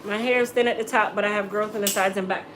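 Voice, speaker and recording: A woman's voice: medium pitch, fairly even intonation, fast talker, noisy background. A middle-aged American woman, a hairstylist, chatting casually with live-stream viewers, joking and teasing as she answers. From United States. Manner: joking, teasing, laughs often.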